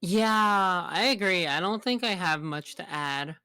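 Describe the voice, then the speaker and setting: A woman's voice: lowish voice, expressive, unhurried, pushed and bright, clean tone, dry recording. A woman chatting casually as a guest on a livestream, easygoing and agreeable. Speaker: guest streamer.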